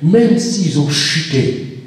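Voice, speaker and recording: A man's voice: a little high, lively intonation, extremely slow. A man preaching a sermon, speaking emphatically and exhorting his congregation directly. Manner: emphatic delivery with repeated exhortations and addresses to the congregation. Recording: echoey room, distant microphone.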